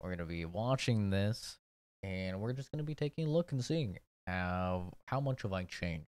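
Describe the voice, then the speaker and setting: A man's voice: deep voice, animated, moderate pace, darkish tone, dry recording. A young man in his twenties chatting casually and poking fun at himself while reacting to an old video of his own. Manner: laid-back, chatty, self-mocking.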